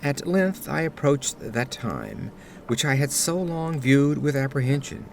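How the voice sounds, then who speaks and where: A man narrates a gothic horror tale with a widely moving, expressive pitch. His delivery is solemn and deliberate, in an old-fashioned literary manner. Faint atmospheric ambience sits behind his voice. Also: vocal fry, a little hiss or hum. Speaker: audio drama narrator. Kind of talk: dramatic scripted narration of gothic horror story.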